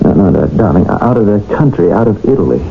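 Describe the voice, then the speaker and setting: An actor playing an anxious, evasive American doctor in a radio drama, halting and defensive in his delivery. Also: lowish voice, animated, creaky. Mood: troubled, fearful. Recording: muffled, noisy old radio broadcast.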